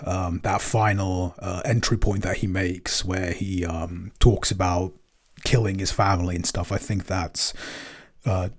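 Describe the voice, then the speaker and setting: A man co-hosting a podcast in casual chat, talking freely with many "um" and "you know" fillers. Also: deep voice. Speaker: podcast co-host and editor.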